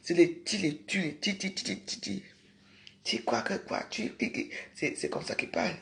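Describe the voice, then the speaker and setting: A woman's voice: fairly low pitch, very animated, moderate pace, creaky, a bit bright. A woman hosting a casual livestream, talking emphatically to her viewers, repeating herself and scolding them. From Cameroon. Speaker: livestream host.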